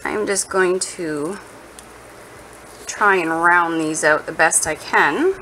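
A woman's voice: on the low side, takes it slowly, sharp tone. A woman chatting casually and playfully as she cooks at home, thinking aloud in an informal way. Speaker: home cooking vlogger. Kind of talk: casual chat while cooking. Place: home kitchen, indoors. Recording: noisy kitchen with food sizzling.

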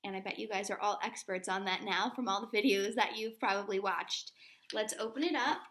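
A woman's voice: medium pitch, fairly quick, fairly bright tone. A woman in her thirties, a primary school teacher, reading aloud to young children and explaining things simply with questions along the way. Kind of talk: children's read-aloud lesson.

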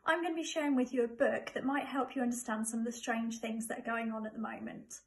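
High-voiced English woman reading to children. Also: steady tone, fast talker. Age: middle-aged. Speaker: primary school staff member reading to pupils. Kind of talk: children's book read aloud.

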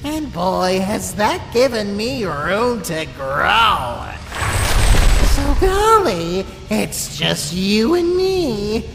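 A woman voicing a spiteful, manic little talking flower villain in an animated comic dub, childish, mocking and theatrical. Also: mid-range voice, very slow, gravelly, full character voice. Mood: menacing taunting. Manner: mocking, sneering, theatrical, prone to shrieking. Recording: long reverb, music behind the voice.

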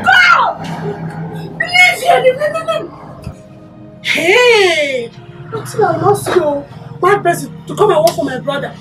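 A woman playing a scornful madam who loudly mocks and scolds a visitor. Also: extremely high pitch, expressive, moderate pace, pauses often. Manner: loud, mocking, scolding. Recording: from far off, music underneath.

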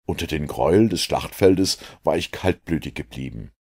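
A man narrating a detective audiobook in a measured, grave way. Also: extremely low pitch, expressive, vocal fry, darkish tone. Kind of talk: scripted narration.

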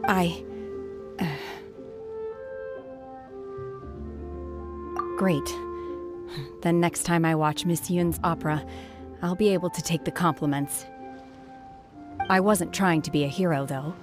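A woman playing a reserved young female warrior in a video game, terse, calm and quietly sincere. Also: lowish voice, unhurried, pauses often, music in the background, natural voice. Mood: hesitant, sincere. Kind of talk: scripted video game voice acting.

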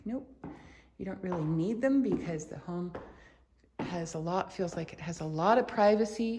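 A woman's voice: mid-range voice, darkish tone. A woman giving a casual, unscripted house tour as a real estate agent, chatting and thinking aloud as she walks through the rooms. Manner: chatty, thinking aloud while walking around. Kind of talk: casual, unscripted walkthrough narration. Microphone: handheld camera or phone held by speaker. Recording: clearly noisy handheld walkthrough recording.

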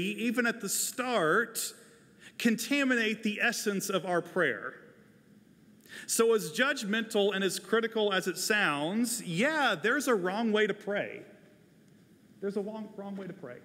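An American pastor, a man in his forties, delivering a sermon in a measured, deliberate, emphatic way. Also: sits high, a bit bright. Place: church sanctuary, at a pulpit. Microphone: lapel microphone. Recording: reverberant large church hall.

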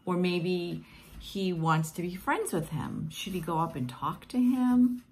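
A woman whose first language is Portuguese reads picture books aloud to children in a gentle, encouraging, singsong way. She is filmed at home on a close webcam. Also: on the low side, animated, a little slow. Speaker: teacher reading picture books. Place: home room with plants, indoors. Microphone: webcam at close range.

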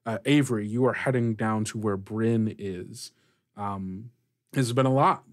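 Male game master, lowish voice, halting improvised narration spoken straight to the players. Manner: halting, addresses players in second person.

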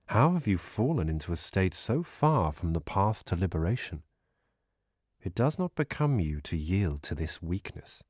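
Calm, commanding male god-teacher acted by a man for scripture audiobook: deep, husky, grave. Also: no echo, clean studio sound, full character voice. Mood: stern, urging. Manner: deliberate, grave, instructing.

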